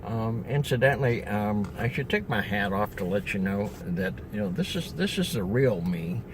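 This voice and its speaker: A man's voice: on the low side, lively intonation, moderate pace, background noise. An older man from upstate New York, introducing himself as a solar salesman in a rambling, chatty way, full of fillers and restarts. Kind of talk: casual self-introduction video.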